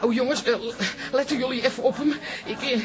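An actress playing a breezy, exclamatory Dutch aunt in a comic radio play. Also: female voice, high-pitched, fairly even intonation, brisk, a crowd in the background, close to an everyday voice. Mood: flustered. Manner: exclamatory, chatty. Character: chatty, breezy Dutch auntie with a dog.

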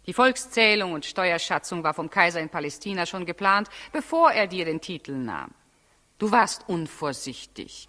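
A low female voice in a long reverb, a composed and shrewd woman of a royal court. Her delivery is measured and coolly persuasive, with the theatrical diction of 1960s radio drama. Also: fairly bright tone.

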